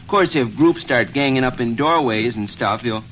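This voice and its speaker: A man's voice: mid-range voice, normal speed, a bit bright, faint music behind, lightly acted, poor audio. An actor playing an eager, boastful teenage boy, earnest and self-important, in 1930s radio comedy style with folksy small-town talk. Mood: proud.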